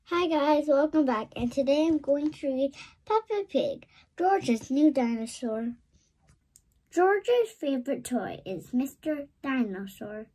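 High-voiced, slow-paced storybook reader, a woman. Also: low-quality recording. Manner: expressive, simple delivery for young children. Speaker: storybook read-aloud host. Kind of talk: animated children's story reading.

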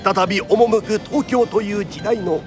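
A man's voice: high voice, unhurried, over music. A man narrating a radio drama in the theatrical, declamatory style of a traditional Japanese storyteller.

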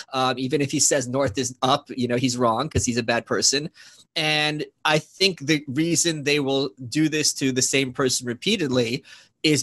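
A man in his thirties hosting a casual interview over a video call, lively and pointed in his questions. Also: medium pitch. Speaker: host. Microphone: video call, earbuds.

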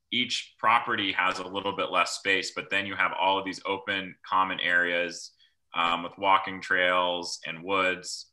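Young male planner, low pitch, sharp forceful tone, halting informal explainer over video call. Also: low-quality recording. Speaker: rural planner presenting at a public meeting. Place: small room at home, on a video call. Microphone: computer microphone on video call.

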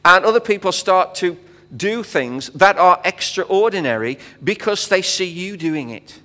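A man's voice: sits high, expressive, unhurried, pushed and bright. A middle-aged man preaching from a church stage in a chatty, joking way with frequent fillers. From England. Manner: conversational preaching with fillers and quips. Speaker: visiting pastor. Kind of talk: sermon. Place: church hall stage with audience. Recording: long hall reverb.

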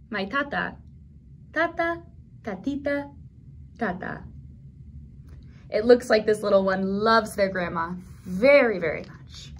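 A woman in her thirties leading a toddler storytime, speaking gently in simple phrases for small children as she reads aloud and comments. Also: fairly high pitch, a little hiss or hum. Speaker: children's librarian, storytime host. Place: small indoor room at a library.

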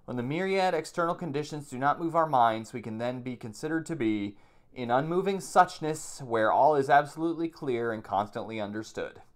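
A man's voice: medium pitch, expressive, fairly quick. Plain-spoken man teaching meditation. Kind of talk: reading aloud from a book with informal commentary. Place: small room at home, indoors.

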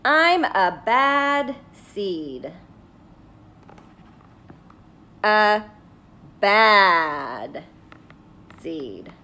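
Woman reading as a grumpy, slow-talking little bad seed. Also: medium pitch, sing-song, pushed and bright, heavily acted. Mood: menacing, blunt. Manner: emphatic, drawn-out storybook delivery. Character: grumpy, defiant little sunflower seed confessing badness.

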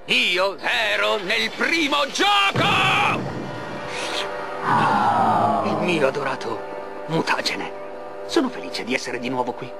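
A man's voice: high-pitched, wildly swooping pitch, slow, vocal fry, long pauses, heavily acted. A man voicing a proud, eccentric older mad scientist villain in Italian game cutscenes, pompous and dramatic. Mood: boastful. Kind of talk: animated game cutscene acting. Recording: loud music behind the voice.

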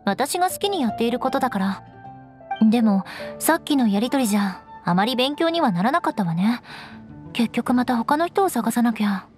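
An actress playing a proud, composed young female knight of noble birth in a Japanese anime game, speaking in a poised, measured, aloof way. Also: on the high side, lively intonation, darkish tone, faint music behind, natural voice. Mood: aloof, reassuring. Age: young adult.

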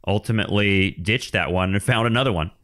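A man hosting a podcast interview, talking in a halting way with many restarts and fillers. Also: lowish voice, fairly bright tone. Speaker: podcast host.

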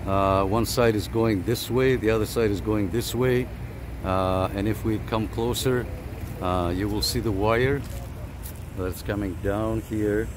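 A man's voice: on the low side, steady tone, a little slow, noisy background. A man who runs a radio channel, talking on CB radio from a parked car and then outdoors, using radio lingo, repeating himself and adding many fillers. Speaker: CB radio operator and vlogger. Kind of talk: casual radio chat and on-camera walkthrough.

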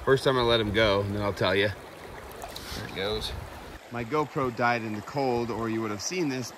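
A young man hosting a fishing vlog, chatting excitedly as he fishes a snowy mountain creek on an action camera. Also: medium pitch. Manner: chatty, excitable running commentary. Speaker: fishing channel host. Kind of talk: casual vlog narration while fishing. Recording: clear outdoor stream noise behind. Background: rushing stream water.

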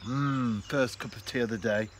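A man, talking to camera in a relaxed, reflective way while fishing on a canal bank. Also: medium pitch, moderate pace. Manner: chatty, reflective, speaks straight to camera. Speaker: fishing vlogger. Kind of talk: relaxed outdoor vlog narration. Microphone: camera close in front. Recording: faint outdoor noise. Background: birds and countryside ambience.

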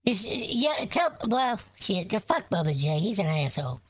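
A woman voicing a crude, loud old grandma who hurls vulgar insults on a prank call. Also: medium pitch, sing-song, normal speed, husky, no room sound. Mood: bawdy. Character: crude foul-mouthed brash old grandma, quick to anger. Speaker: prank caller baiting a scammer.

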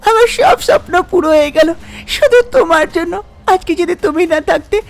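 A woman's voice: very high voice, lively intonation, normal speed, gravelly, voice pushed up, exaggerated voice. A woman voicing a timid, eager young bride in a cartoon story, pleading and full of feeling. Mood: tearfully grateful. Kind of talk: cartoon story character acting.

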